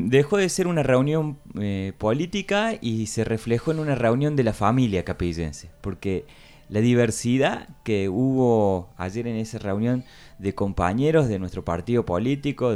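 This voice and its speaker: A man's voice: mid-range voice, a little mellow. A man with an Argentine accent, a political candidate on a radio interview, speaking emphatically in firm statements. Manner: emphatic, declarative.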